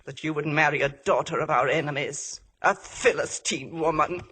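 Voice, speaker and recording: A woman's voice: deep voice, moderate pace, a bit bright, husky. An actress playing a pious, scolding old village mother in a 1950s radio drama, solemn and reproachful. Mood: pleading, bitter. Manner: solemn, reproachful, old-fashioned biblical phrasing. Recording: vintage 1950s radio broadcast.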